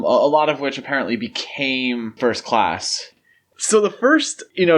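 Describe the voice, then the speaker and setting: A man's voice: mid-range voice, fairly bright tone. A man hosting a podcast, talking through film history in a casual, conversational style. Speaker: podcast host.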